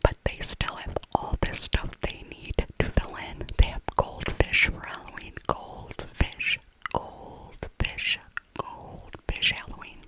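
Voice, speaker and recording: A woman's voice: slow pace, low-quality recording. A middle-aged woman whispers throughout, with no real voice behind the breath. She chats in a casual, musing ASMR voiceover style, often circling back to repeat a phrase. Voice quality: soft unvoiced whisper. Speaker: ASMR video creator.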